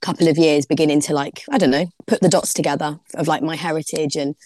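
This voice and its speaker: A woman's voice: deep voice, steady tone, fast talker, darkish tone, no echo. A young woman, a DJ guest on a podcast, chatting casually and filling her talk with "like". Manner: chatty, heavy use of "like", laughs easily. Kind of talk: casual interview chat.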